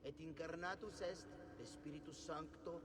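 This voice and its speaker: Through heavy background noise, a priest, acted by a man, recites liturgy in a video game scene. His voice sits a little high and stays ceremonial and solemn. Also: fairly even intonation, normal speed, close to an everyday voice.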